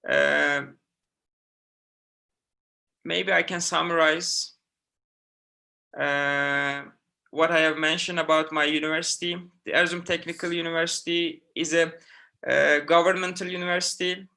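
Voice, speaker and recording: A middle-aged man from Turkey with a Turkish accent, a university professor answering student questions in an online info session, speaking haltingly with many fillers and restarts. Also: on the high side, little pitch movement, gravelly, sharp tone.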